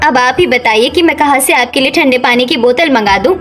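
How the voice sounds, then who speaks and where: A woman's voice: sits high, a little fast, a bit bright, soft background music. A woman playing a weary, motherly older village mother-in-law in a cartoon story, sounding worried. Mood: aggrieved.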